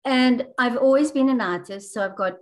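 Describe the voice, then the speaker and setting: A woman's voice: a little high, normal speed. A middle-aged woman, born in France and raised in Australia, with a mixed Australian accent, talking as a guest author in a casual book club chat, enthusiastic and full of fillers and restarts.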